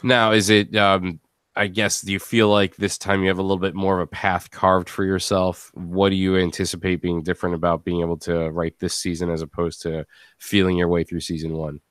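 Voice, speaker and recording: A man's voice: low-pitched, little pitch movement, fairly quick, fairly bright tone, clean tone, no room sound, clean studio sound. A man hosting a casual podcast interview in a rambling, conversational way, given to chuckling. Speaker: host.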